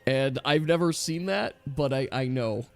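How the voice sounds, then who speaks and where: A man's voice: mid-range voice, a little slow, vocal fry, dry recording. A man acting as game master of a casual tabletop role-playing game, chatty and explaining the rules as he goes.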